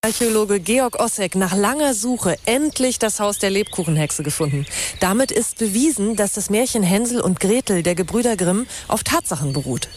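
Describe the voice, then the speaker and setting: Actress as lively, pushy woman radio journalist. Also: mid-range voice, moderate pace, a little hiss or hum, natural voice. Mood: reporting. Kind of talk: naturalistic radio drama.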